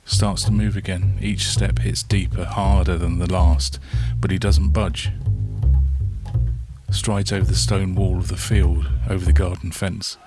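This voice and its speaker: A man reading a story as scripted narration, in measured short phrases with dramatic pauses. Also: sits low, little pitch movement. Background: soft plucked guitar soundtrack.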